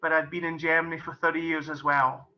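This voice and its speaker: A man's voice: a little high, level tone, a little fast. A middle-aged man with a Scottish accent, a golf and business coach, giving a friendly explanatory webinar talk with many "kind of"s and "um"s. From Scotland. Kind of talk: webinar presentation. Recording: muffled lo-fi webinar audio.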